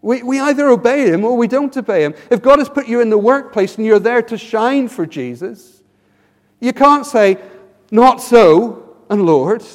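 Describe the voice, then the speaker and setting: High-voiced English preacher in a reverberant hall. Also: male voice, animated, moderate pace. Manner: earnest, rhetorical questions, repeats words when starting phrases. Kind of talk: sermon.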